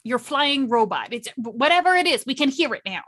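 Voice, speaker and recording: A woman with a fairly high, bright voice plays a tabletop game. Her intonation swings freely as she chats casually, wry and playful. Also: sing-song, brisk. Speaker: tabletop roleplaying player.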